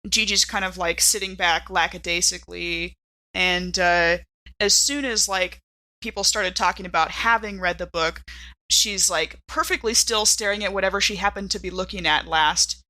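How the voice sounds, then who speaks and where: A woman's voice: lowish voice, steady tone, moderate pace, pushed and bright, low-quality recording. A woman chatting casually as a player in a tabletop game, describing her character's actions in a conversational way.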